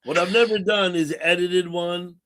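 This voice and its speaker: Guy in his 60s hosting a stream chat, kinda high voice, rambles and repeats stuff over a computer mic. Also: fairly even intonation, normal speed, a bit bright. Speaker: livestream host. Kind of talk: casual call-in chat.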